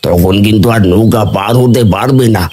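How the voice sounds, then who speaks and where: Guy doing a low husky village uncle, older, nosy n caring, cartoon story. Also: brisk, mellow. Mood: concerned.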